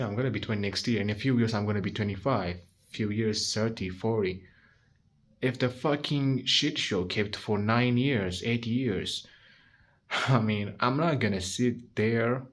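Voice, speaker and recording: A nineteen-year-old man talking frankly to his handheld phone in a casual vlog, swearing often and restarting his sentences. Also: fairly low pitch. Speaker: vlogger.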